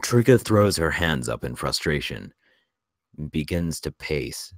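Very deep-voiced man narrating a script. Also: sing-song, unhurried, dry recording, studio quality. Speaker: narrator reading stage directions. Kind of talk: scripted narration at a screenplay table read.

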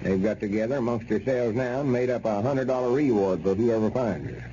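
An actor playing a fretful, self-pitying old country storekeeper, grumbling in a folksy Southern drawl for a radio comedy. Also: male voice, medium pitch, fairly quick, dark tone, natural voice. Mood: anxious. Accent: rural American South. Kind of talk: 1930s radio comedy acting. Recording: muffled, noisy old radio recording.

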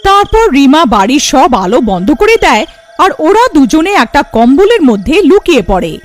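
A woman's voice: sits high, sing-song, normal speed, faint music behind. A woman giving scripted narration for a cartoon horror story.

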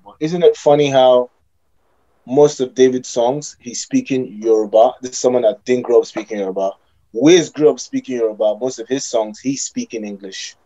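A man's voice: medium pitch, mellow, dull audio. A young man hosting a casual podcast over a video call, posing long, probing questions and restarting mid-thought. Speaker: podcast host. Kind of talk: casual debate among friends.

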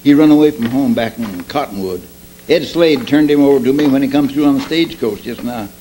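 A plain-spoken, folksy deputy of the Old West, played by an older man in a radio western. He has a rural Western American drawl at a middling pitch. Clear noise sits behind the live stage recording. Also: fairly quick, warm tone, lightly acted. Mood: earnest. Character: folksy, deferential frontier deputy. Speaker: radio actor. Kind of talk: old-time radio drama re-creation, read from script. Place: convention meeting room, indoors. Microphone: stand microphone on stage. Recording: noisy amateur live-stage video.

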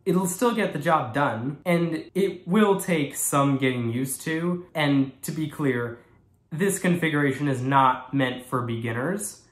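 A young man with a casual, explanatory manner walks viewers step by step through a software install in a tutorial. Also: mid-range voice. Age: young adult. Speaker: tech YouTuber. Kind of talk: screen-recorded tutorial walkthrough. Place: small room indoors.

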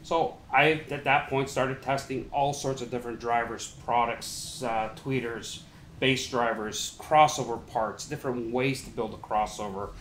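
A man's voice: medium pitch, fairly even intonation, a little slow, a bit bright. A middle-aged man speaks as an interview guest in a conversational, explanatory way, with some emphasis. The room around him echoes, and there is noise behind his voice. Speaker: company founder, interview guest. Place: small indoor listening room, seated. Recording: roomy echo, clear background noise.

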